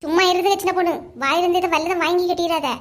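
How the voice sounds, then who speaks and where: A woman's voice: very high voice, fairly even intonation, quick pace. A woman voicing touchy, bickering village women in a comedy cartoon, scolding and grumbling in Kanyakumari Tamil slang.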